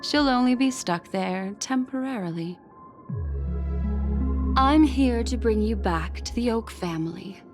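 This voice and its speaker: A woman's voice: a little high, expressive, a little slow, long pauses, music in the background. A woman playing a poised, needling envoy in a video game, speaking in a theatrical, knowing, condescending way. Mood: knowing, composed. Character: poised, needling female envoy and exposer of lies.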